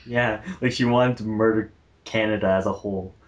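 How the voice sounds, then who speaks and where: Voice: mid-range voice, steady tone, moderate pace, lo-fi sound. A fandub cast member chatting casually in a group commentary. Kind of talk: casual chat.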